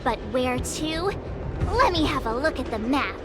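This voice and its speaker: A woman's voice: high-pitched, lively intonation, a little slow, loud music behind the voice. A woman voicing a playful young woman in a video game, narrating a detective tale in a theatrical, cheerful way.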